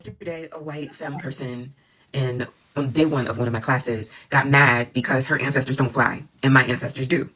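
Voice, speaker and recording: A woman, a doctoral student, telling a story in an animated monologue that swings from calm to exclaiming. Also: very deep voice, normal speed, low-quality recording. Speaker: doctoral student and class participant.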